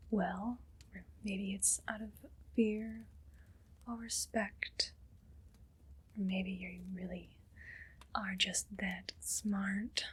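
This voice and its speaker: A woman playing a haughty, condescending evil queen in an ASMR roleplay, speaking in a breathy, deliberate whisper. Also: mid-range voice, a bit bright, full character voice. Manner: slow, deliberate, imperious.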